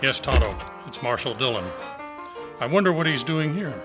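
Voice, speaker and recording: A man's voice: mid-range voice, expressive, normal speed, lightly acted. A man playing a resolute masked Western hero in an amateur old-time radio play. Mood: resolute, alert. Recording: live club performance with music behind.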